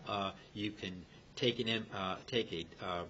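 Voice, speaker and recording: Low, halting older man, noisy recording. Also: fairly even intonation, pushed and bright. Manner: halting, repetitive, wordy. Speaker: club member. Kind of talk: informal group discussion. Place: club meeting room. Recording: muffled recording with noisy rumble.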